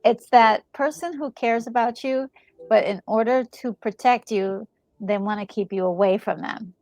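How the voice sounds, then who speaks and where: A woman giving casual reaction commentary on a game, thinking out loud in a conversational, musing way. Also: fairly high pitch, moderate pace, no echo, faint music behind. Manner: conversational, musing, trailing thoughts. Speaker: gaming video co-host.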